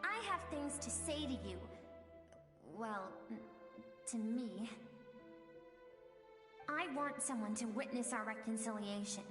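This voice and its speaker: A gentle, wistful female game character, speaks in a high, measured, earnest voice. Long reverb surrounds the dramatic delivery, and music plays clearly behind it. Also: lively intonation. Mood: quietly resolute. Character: gentle, wistful female suppressed emotional self of a warrior. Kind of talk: dramatic video game voice acting.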